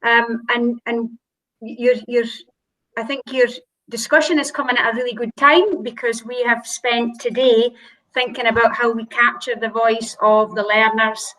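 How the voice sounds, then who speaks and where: A woman's voice: fairly high pitch, fairly even intonation, unhurried, fairly bright tone, poor audio. Woman with a Shetland accent on a call. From Shetland, Scotland. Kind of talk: video call discussion. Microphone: video call.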